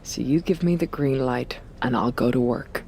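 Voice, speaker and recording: A woman's voice: rumbling low, moderate pace, vocal fry, warm tone, a little hiss or hum. A woman playing a brash, scheming veteran wrestler in a video game cutscene, talking in a persuasive, conversational way. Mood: confident.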